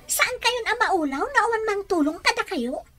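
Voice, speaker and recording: An actress with an Ilocano Filipino accent playing a respectful, questioning household helper in a radio drama. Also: female voice, very high voice, animated, moderate pace, pushed and bright, faint music behind, heavily acted. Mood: concerned, questioning.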